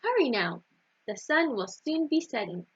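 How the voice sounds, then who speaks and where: A woman's voice: high-pitched, sing-song, measured pace, breathy edge, exaggerated voice. A woman voicing a wise, kindly male village rabbi in a children's story, assured and instructive. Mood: warning. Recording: dull, roomy lo-fi recording.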